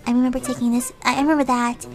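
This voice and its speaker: A woman voicing a shy, sweet, easily flustered cartoon pony, with a girlish voice and a halting, apologetic, plaintive manner, improvising commentary in character. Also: high-pitched, level tone, close to an everyday voice. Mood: soft, hesitant. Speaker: in-character host reacting to pictures. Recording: children's music playing under the voice. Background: children's music.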